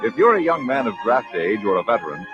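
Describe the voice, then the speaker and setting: An animated male announcer with a mid-range voice reads scripted copy in 1950s radio fashion. The old broadcast sounds dull, with clear music behind. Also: medium pitch, fast talker.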